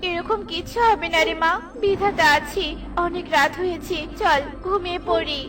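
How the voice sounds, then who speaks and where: A woman voicing a gentle, honest, poor mother bird in a fairy-tale cartoon, patient and pleading. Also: very high voice, moderate pace. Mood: gentle, reassuring. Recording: music bed behind the voice.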